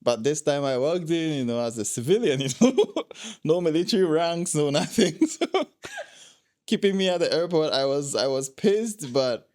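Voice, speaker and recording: A man's voice: a little high, lively intonation, moderate pace, darkish tone, no echo, studio quality. A young man from Ghana, a guest telling his travel stories in a casual interview, laughing often.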